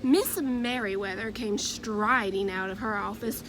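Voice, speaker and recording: A woman reading a children's storybook aloud in an expressive, measured narrating manner. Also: medium pitch, background noise. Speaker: storybook reader.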